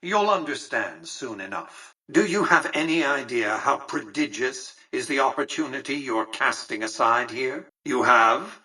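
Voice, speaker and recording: An actor playing an imperious, calculating old tycoon in a video game, speaking formally and precisely with pompous condescension. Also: male voice, medium pitch, sharp tone, breathy edge, recorded from a distance, rough recording. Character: imperious, calculating, centuries-old male tycoon ruling a futuristic Vegas. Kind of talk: scripted video game character dialogue.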